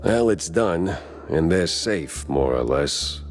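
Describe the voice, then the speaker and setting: A hard-boiled cartoon mouse detective with a very low, gruff American voice. He talks slowly, in a wry, laconic noir manner, tired but dogged, with music underneath. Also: lively intonation, exaggerated voice. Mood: resigned, steady. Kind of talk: 1930s noir detective acting with brooding voice-over.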